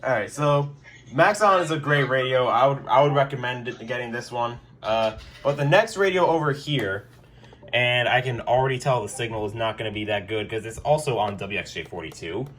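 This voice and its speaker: A young man talking casually and loosely, full of filler words and little jokes. His pitch sits at a middling level. Faint noise hums in the background. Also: expressive, moderate pace, a bit bright. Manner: rambling, joking, many fillers. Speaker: weather radio collector. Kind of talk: casual review vlog. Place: his bedroom.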